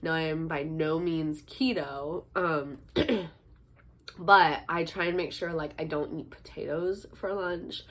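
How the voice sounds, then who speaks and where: Young woman vlogger, slightly low voice, congested from a cold, chatty with ums. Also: slow pace, fairly bright tone. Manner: chatty, frequent ums and little laughs. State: stuffy from a head cold. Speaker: vlogger, teacher and fitness instructor. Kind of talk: casual talk to camera.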